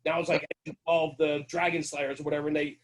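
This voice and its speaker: A man's voice: fairly high pitch, level tone, quick pace. A man speaking as a podcast guest, telling stories conversationally in an earnest, emphatic way with rhetorical check-ins. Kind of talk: conversational storytelling about firehouse culture.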